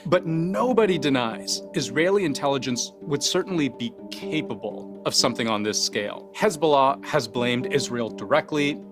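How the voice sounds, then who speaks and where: A man hosting a news explainer, narrating from a script in deliberate, emphatic phrases with rhetorical questions. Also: medium pitch, lively intonation. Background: background music bed.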